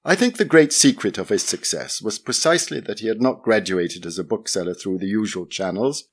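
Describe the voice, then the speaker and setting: A man giving a measured, expressive reading of a literary memoir essay. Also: fairly low pitch.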